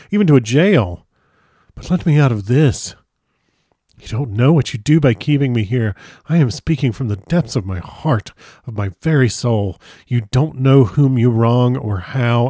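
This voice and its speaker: A man's voice: medium pitch, dark tone, no echo. Man acting a desperately pleading asylum patient, dry sound. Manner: imploring, emphatic, educated and formal phrasing. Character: frantic male asylum patient pleading desperately, well-bred. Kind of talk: dramatic audiobook character reading.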